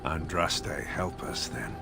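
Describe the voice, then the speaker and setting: A man playing a proud, weary, sardonic veteran warrior nobleman in a fantasy video game, speaking in a wry, grave and measured way with formal phrasing. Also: very deep voice, lively intonation, gravelly, heavily acted. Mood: guarded, sardonic. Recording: studio voice with game music behind. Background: music.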